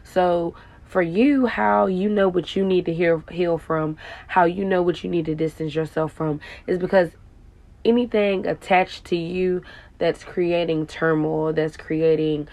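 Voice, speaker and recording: A woman life coach with a raspy voice, giving a spiritual coaching talk and tagging her lines with "okay?". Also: lowish voice, steady tone, measured pace, a little mellow, dry recording. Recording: guitar music playing under the voice.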